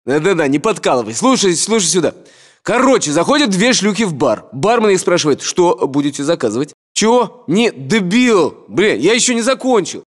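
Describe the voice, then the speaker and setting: Brash high-voiced soldier. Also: male voice, big pitch swings, moderate pace, long reverb tail. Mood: bantering. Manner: coarse, bantering. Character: brash, foul-mouthed Russian soldier. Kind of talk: video game battle chatter.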